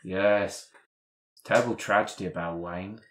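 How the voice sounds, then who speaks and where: A man's voice: deep voice, steady tone, measured pace. A man voicing a shady, infamous businessman in improvised role-play, curt, wry and cagey. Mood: wryly evasive. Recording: echoey room, faint noise.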